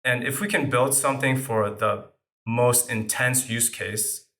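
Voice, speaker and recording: A startup founder who grew up speaking French, answering interview questions in a casual way with many fillers. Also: male voice, medium pitch, steady tone, a little slow, bright, forceful tone.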